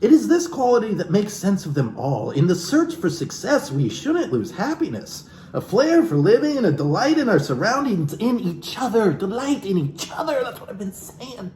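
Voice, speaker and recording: A man's voice: sits high, sing-song, moderate pace, few pauses, darkish tone. Guy reading a self help book with jokey asides, kinda theatrical, voice swings up and down, echoey room w some noise. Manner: theatrical, playful, wry. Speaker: comedic reader and commentator. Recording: echoey room with background hiss.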